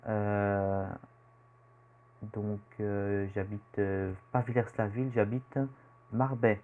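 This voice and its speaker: Teen boy, Belgian French accent, hesitant rambling vlogger, lowish voice, dull home-camera sound. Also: little pitch movement, slow, a little hiss or hum. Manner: rambling, hesitant with many fillers. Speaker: youtuber. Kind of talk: casual self-introduction vlog.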